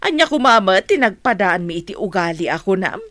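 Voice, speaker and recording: A woman's voice: mid-range voice, big pitch swings, brisk, fairly bright tone, dry recording. A woman with an Ilocano accent playing a haughty, touchy middle-aged mother, confrontational and indignant in a radio drama.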